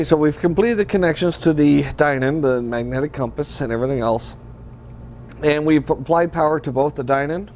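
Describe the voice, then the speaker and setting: A man speaks in a relaxed, practical way as he demonstrates his work at a workshop bench. His voice sits at an ordinary middle pitch, recorded by a camera mic. A faint hiss runs underneath. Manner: relaxed, practical, addresses viewers as guys. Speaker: aircraft builder demonstrating. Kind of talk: hands-on workshop instruction.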